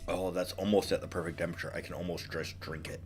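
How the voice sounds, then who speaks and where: A man with a stuffy, congested voice from a cold, chatting casually on a gaming stream, halting and apologetic with many ums. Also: low-pitched, level tone, over loud music.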